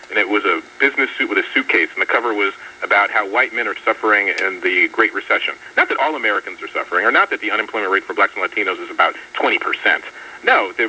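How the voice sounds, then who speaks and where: A man speaking as a guest on a political talk radio show, laying out his arguments quickly and assuredly. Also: on the low side, bright, forceful tone, a little hiss or hum. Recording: distant radio broadcast.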